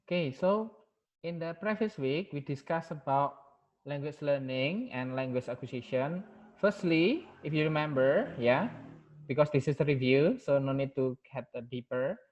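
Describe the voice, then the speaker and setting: Halting Indonesian-accented male lecturer, lo-fi. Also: mid-range voice, a little mellow. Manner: halting, many fillers and repeated "yeah". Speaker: university lecturer. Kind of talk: online class review.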